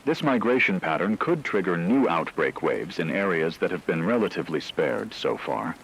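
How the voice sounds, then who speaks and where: A man playing a grave radio anchor, delivering a measured, analytical scripted emergency broadcast. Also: deep voice, very animated, a bit bright, slightly rough, exaggerated voice, rough recording. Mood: grave, factual. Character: grave male radio anchor reporting an apocalypse.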